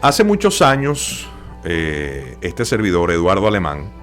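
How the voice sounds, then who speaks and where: An older man from Venezuela with a Venezuelan Spanish accent, a radio announcer telling his story as a guest, relaxed and full of hesitations. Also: deep voice, a little slow, faint music behind. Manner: relaxed, hesitant with many fillers. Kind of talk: interview storytelling.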